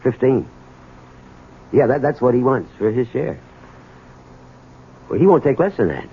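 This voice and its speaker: An actor playing a troubled, desperate husband in a 1970s radio drama, halting and emotional. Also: male voice, medium pitch, very animated, creaky, slightly rough, phone-quality sound, full character voice. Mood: anxious, pleading. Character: troubled, desperate husband and small business owner, bitter toward his father. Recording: thin, noisy old radio broadcast.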